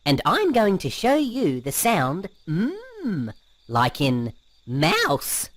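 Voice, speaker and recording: A woman voicing a friendly cartoon guide character for young children, speaking slowly in a sing-song Australian accent. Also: fairly low pitch, heavily acted. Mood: cheerful. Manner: slow, sing-song, very expressive.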